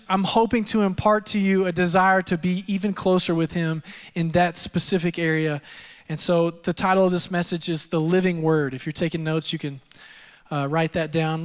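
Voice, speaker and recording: A man in his thirties from Texas, preaching a sermon and telling his own story in a relaxed, self-deprecating way with lots of fillers. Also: high voice, smooth. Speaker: pastor. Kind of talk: sermon with personal testimony. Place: church auditorium. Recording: long hall reverb.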